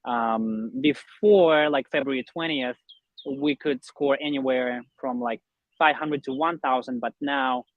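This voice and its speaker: A man's voice: mid-range voice, expressive, a little slow, no echo, narrow, tinny audio. A young man from Russia, an interview guest explaining his online business in a conversational way. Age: young adult.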